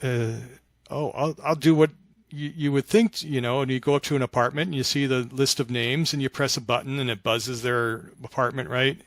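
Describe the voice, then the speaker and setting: A mature man telling stories conversationally in an interview, with a halting, reflective delivery. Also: mid-range voice, no echo. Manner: halting and reflective, animated when acting out remembered dialogue. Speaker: podcast guest, author of fiction.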